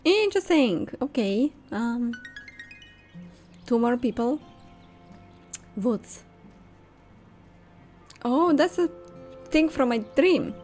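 Young female let's player: slightly high voice, unhurried casual commentary, soft music behind. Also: animated. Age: young adult. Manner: thinking aloud. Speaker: gamer, host. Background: soft game music.

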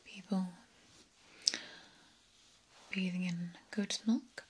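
A young woman whispering breathily in an ASMR roleplay as a genteel, sinister vampire countess, hushed and deliberate, with long pauses. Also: medium pitch, fairly even intonation, poor audio. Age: young adult. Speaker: ASMR performer. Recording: clear background noise and ambience.

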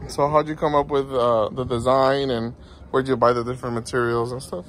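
A man's voice: mid-range voice, flat delivery, brisk, fairly bright tone. A man behind the camera asking curious questions about the build, recorded outdoors in a driveway. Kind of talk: casual interviewing. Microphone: camera mic, holding the camera. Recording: noisy outdoor audio.

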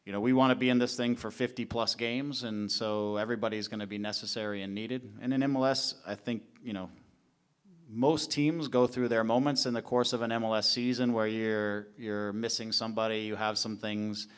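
A man in his forties speaks into a table mic at a press conference. His voice sits low, smooth and resonant, and it stays fairly level in pitch. He answers steadily, thinking aloud with fillers and restarts. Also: level tone, fairly quick. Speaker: head coach. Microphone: table mic in front of him.

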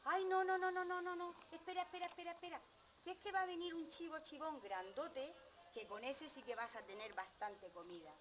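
A woman's voice: medium pitch, moderate pace, hardly any pauses, lightly acted. A woman voicing a nervous, medium-sized billy goat in a children's tale, pleading repetitively. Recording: noisy live storytelling recording.

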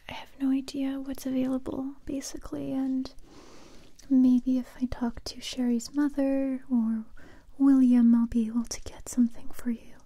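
Actress as young female survivor turned gentle, guarded interrogator. Breathy, even ASMR whisper, close to the mic. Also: high-pitched. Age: young adult. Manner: slow, hesitant, intimate close-up delivery. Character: young woman survivor, kind but wary interrogator. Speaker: ASMR roleplay performer. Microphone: close mic, whispering near the listener.

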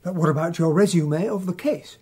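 An actor playing an earnest, polite gentlemanly captain and loyal companion, husky-voiced, in a radio play. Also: male voice, a little high, a little fast, dry recording. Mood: inquiring. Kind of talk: radio drama acting and narration.

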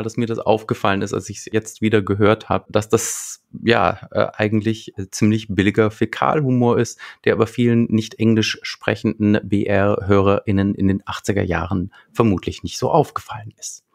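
A man's voice: on the low side, fairly even intonation, moderate pace, creaky, few pauses. A man in his thirties retelling a story conversationally as a podcast co-host, close to the microphone. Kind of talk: conversational recap of a radio play. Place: small room at home. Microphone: close desktop microphone.

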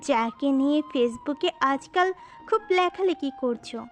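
A woman acts as a young wife with a high voice, scolding and coquettish, possessive yet secretly in love. It is a romantic audio story with music playing underneath. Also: lively intonation, measured pace. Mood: angry, threatening.

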